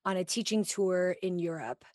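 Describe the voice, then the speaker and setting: A woman's voice: on the low side, moderate pace, darkish tone. A woman hosting a podcast, speaking into a studio mic with headphones on, in effusive, chatty casual talk. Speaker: podcast host. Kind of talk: casual interview chat.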